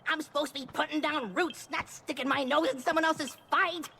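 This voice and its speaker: A woman's voice: sits high, fairly even intonation, fairly quick, gravelly, a little hiss or hum, exaggerated voice. A woman voicing a small, cocky, chatty forest spirit sidekick in a video game, with slangy, wheedling talk and stammers. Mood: wary, reluctant. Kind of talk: animated video game cutscene acting. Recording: music loud behind the voice. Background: game soundtrack music.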